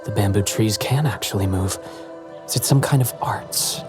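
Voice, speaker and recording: A man's voice: lowish voice, moderate pace, a little mellow, natural voice. A man playing a courteous, eloquent young wanderer in a video game, serene and grateful, with formal, ornate phrasing. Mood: pleased, grateful. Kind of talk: scripted video game acting. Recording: game music behind the voice.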